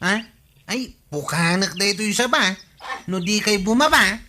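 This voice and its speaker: A man's voice: sits high, normal speed, noisy background, close to an everyday voice. A man playing a villager managing his neighbours' troubles in a Filipino radio comedy drama, with an Ilocano accent. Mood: bossy.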